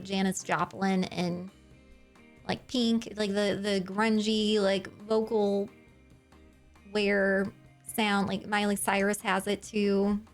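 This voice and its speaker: A woman streamer chatting casually with her viewers, giggly and rambling. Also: mid-range voice.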